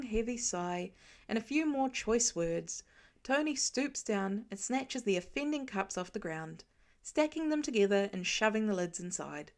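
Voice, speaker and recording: A woman narrating a fan fiction story aloud with expressive storytelling. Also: mid-range voice. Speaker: podfic reader.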